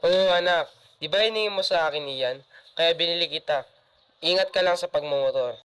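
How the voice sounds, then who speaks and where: Bright-voiced, indulgent Filipino father, acted by a man. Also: fairly high pitch, a little fast, clean tone, natural voice. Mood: fond. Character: indulgent, protective middle-aged father of a grown daughter. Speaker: student radio drama actor. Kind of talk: amateur radio drama acting.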